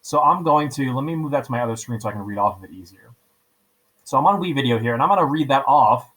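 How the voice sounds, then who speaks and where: A man's voice: medium pitch, lively intonation, fast talker, fairly bright tone. A man teaching in an informal screencast style, explaining step by step, repeating himself and often checking in with "right?". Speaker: teacher giving a software tutorial. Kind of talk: screen-recording tutorial, casual step-by-step instruction.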